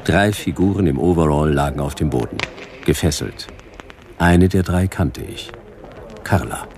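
A man playing a wry, laconic detective with a gravelly voice and a dry, clipped, deadpan delivery in a noir radio drama. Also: extremely low pitch, measured pace, slight background noise. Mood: deadpan narration. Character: hard-boiled male detective, wry and laconic. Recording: music behind the voice.

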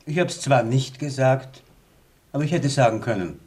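An actor playing a defiant, militant young party rebel in a radio play, combative and given to speechmaking. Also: male voice, medium pitch, normal speed, darkish tone, natural voice. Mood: curt, defiant. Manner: combative, oratorical.

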